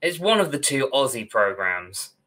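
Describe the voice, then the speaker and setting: A man's voice: mid-range voice, very animated, normal speed, pushed and bright, poor audio. A young British man co-hosting a podcast in a casual, wordy, opinionated way. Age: young adult. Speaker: podcast co-host. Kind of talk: casual ranting discussion. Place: indoor room at home, on video call.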